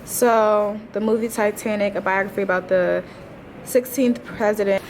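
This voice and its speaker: Woman, twenties, medium pitch, informal vlog talk, slangy wry asides, noticeably noisy recording. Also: steady tone, moderate pace. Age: 20s. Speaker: teacher and vlogger. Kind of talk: casual vlog chat.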